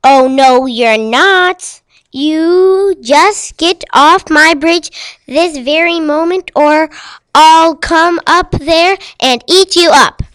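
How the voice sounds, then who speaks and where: A woman acts out a mean old storybook troll with a high voice and deliberate pace. The troll is bossy and threatening, hollering orders in a dry, close sound. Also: fairly bright tone, dry recording. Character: mean, gruff old male troll guarding his bridge.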